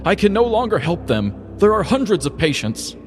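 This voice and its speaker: A solemn old wizardly rebel put on by a male storyteller for a comic fantasy tale. He speaks in a raised, theatrical voice that moves widely in pitch. Faint music sits underneath. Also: big pitch swings, moderate pace. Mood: grieved. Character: noble, defiant aging wizard-like cannabis grower.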